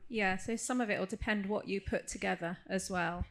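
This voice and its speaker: Creaky-voiced woman explaining on a panel. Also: mid-range voice. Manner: measured, qualifying, explanatory. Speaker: academic expert on a panel. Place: live agricultural conference panel session.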